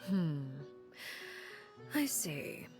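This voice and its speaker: A woman playing a cold, composed, enigmatic leader in a video game, speaking in a measured, formal, aloof way. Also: on the low side, very animated, a little mellow, soft background music, heavily acted. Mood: cool, guarded. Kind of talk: video game character acting.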